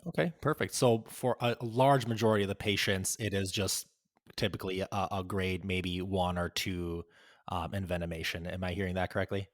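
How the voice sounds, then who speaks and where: A man's voice: sits low, steady tone, normal speed, no room sound, clean studio sound. A man hosting a conversational medical interview, affirming answers and checking that he has understood. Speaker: podcast host interviewing doctors.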